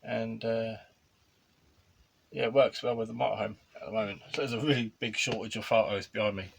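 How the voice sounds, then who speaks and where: A man's voice: fairly low pitch, steady tone, brisk, creaky, slightly rough. A British man chatting casually as a vlogger and radio hobbyist. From United Kingdom. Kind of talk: casual chat. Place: inside a parked motorhome.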